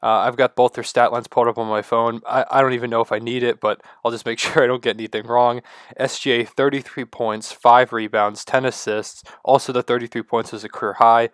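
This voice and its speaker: A man with a stuffy, congested voice and low energy, rambling excitedly to the camera at home. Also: a little fast, clean tone, no echo, clean studio sound. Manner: loose, rambling, excited fan talk with many fillers. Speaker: sports vlogger. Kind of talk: casual rambling talk to camera.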